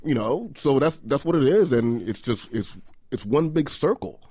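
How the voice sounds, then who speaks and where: A man's voice: mid-range voice, lively intonation, normal speed, warm tone, no room sound. A man speaking as a guest on a radio panel, talking casually with many fillers. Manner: conversational, lots of fillers like "you know" and "like".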